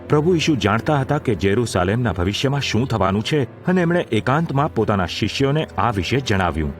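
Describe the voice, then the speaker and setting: A man's voice: mid-range voice, big pitch swings, fairly quick, over music. A man reading scripted narration for a Bible audio drama.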